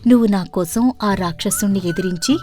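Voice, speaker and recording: A woman's voice: mid-range voice, brisk, mellow, faint music behind, natural voice. A woman voicing a stubborn young princess wife, coaxing and insistent, in a storybook tale. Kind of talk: storybook cartoon character voice.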